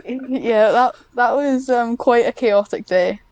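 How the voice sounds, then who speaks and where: A woman talks casually. Her voice is clean and smooth, recorded very dry. She wanders off track and pokes fun at herself. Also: on the high side, slow, darkish tone, dry recording. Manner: rambling, self-deprecating, easily sidetracked. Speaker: podcast guest, ASMR script writer. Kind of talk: casual chat.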